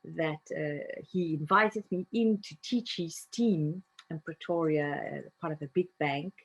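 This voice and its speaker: Thoughtful South African woman, lower voice. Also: measured pace, slightly rough, poor audio. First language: Afrikaans. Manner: thoughtful, with frequent fillers and soft laughs. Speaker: guest, narrative coach. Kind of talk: reflective interview conversation.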